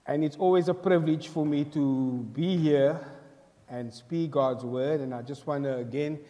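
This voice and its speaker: A man in his thirties leading prayer and opening a sermon in a large church hall, loud and fervent, calling out to the congregation through a headset mic. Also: a little high. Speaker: preacher leading worship. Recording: echoey church hall with live music.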